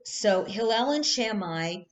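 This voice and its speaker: Slow, thoughtful woman teaching a text. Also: mid-range voice, expressive. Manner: slow, thoughtful, with frequent ums and restarts. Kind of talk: online text study lesson.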